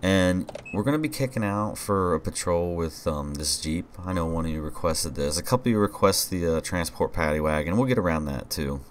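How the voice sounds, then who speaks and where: A man's voice: low-pitched, a little fast, hardly any pauses, a little hiss or hum. A congested young man giving rambling, casual commentary over gameplay at a home desk mic. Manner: rambling, relaxed, many fillers. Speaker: gaming YouTuber.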